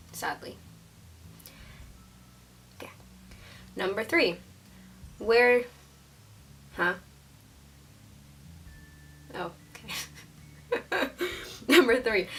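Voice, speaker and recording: A woman's voice: medium pitch, a bit bright, recorded from a distance, slight background noise. A woman in her twenties from Syria, chatting casually in a vlog, with lots of fillers and small laughs. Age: 20s. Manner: chatty, says like a lot, laughs often. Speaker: YouTuber.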